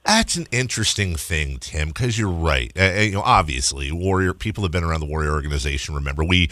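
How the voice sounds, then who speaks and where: A man's voice: very deep voice, expressive, fast talker, a bit bright, dry recording, studio quality. An American man hosting a sports radio show, asking questions in a conversational interview style.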